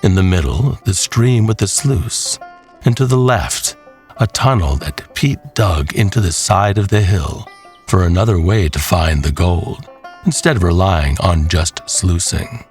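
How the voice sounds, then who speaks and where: A man narrating a scripted horror western tale in a measured, deliberate way, with music behind him. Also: low-pitched, animated, darkish tone.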